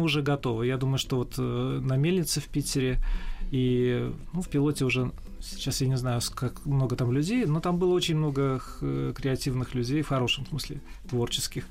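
A man with a mid-pitched voice speaks as a guest in a conversational interview. He is reflective and a little hesitant, building his answers out of short fragments. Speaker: animation studio executive, interview guest.

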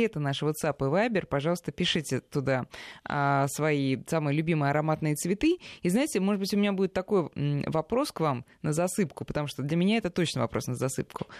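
Hoarse, very gravelly low-pitched woman radio host. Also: no echo, clean studio sound. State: hoarse, ailing voice. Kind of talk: chatty radio presenting. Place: radio studio.

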